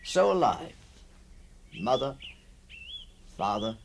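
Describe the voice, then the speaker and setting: An actor playing a genteel middle-aged gentleman haunted by his past, musing in a refined, theatrical way in a radio drama. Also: mid-range voice, big pitch swings, slow, gravelly, heavily acted. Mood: nostalgic, intense. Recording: old radio recording with faint noise.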